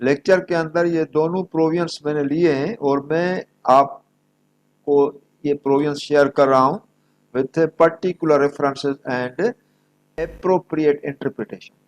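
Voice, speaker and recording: Slow, high-pitched Pakistani male law lecturer. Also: steady tone, rough recording. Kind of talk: lecture, explaining legal provisions.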